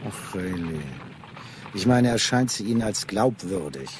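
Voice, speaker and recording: Low-voiced musing police inspector. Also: male voice, expressive, measured pace, a little hiss or hum. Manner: musing, questioning. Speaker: actor in a radio play. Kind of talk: German radio drama acting. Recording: faint vehicle ambience behind. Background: faint moving-vehicle sounds.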